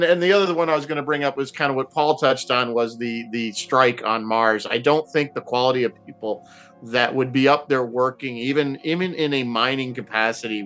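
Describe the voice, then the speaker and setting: Male panelist, mid-pitched, casual and opinionated, thinking aloud haltingly, music faintly underneath. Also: a bit bright. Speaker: panelist in a TV show discussion.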